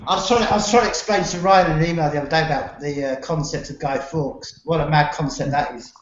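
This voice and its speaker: High-voiced man in echoey room. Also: quick pace. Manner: laughs a lot, swears freely, tells anecdotes. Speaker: podcast host. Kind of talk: casual chat. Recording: echoey room, dull lo-fi, faint noise.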